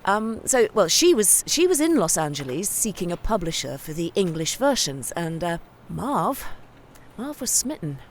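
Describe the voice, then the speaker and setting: Biographer interviewee actress, rather low, hesitant recollection, faint background noise. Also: female voice, big pitch swings. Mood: thoughtful recollection. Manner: halting, hesitant. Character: female biographer recalling events in interview.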